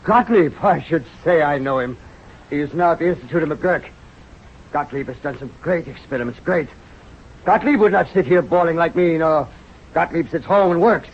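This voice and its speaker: Jovial great scientist, grand and exclamatory, highish voice, muffled old radio show. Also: male voice, lively intonation, normal speed, husky. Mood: admiring, grand. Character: expansive, beer-loving male scientist, talkative and jovial. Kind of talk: 1930s-40s radio drama acting. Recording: muffled old radio recording, faint music.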